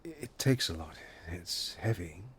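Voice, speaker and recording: An actor playing a reticent, intense musician in an audio drama, speaking with a husky voice in a halting, poetic, introspective way. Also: male voice, low-pitched, expressive, slight background noise. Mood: guarded, hesitant. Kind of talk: naturalistic audio drama dialogue and lyrical monologue.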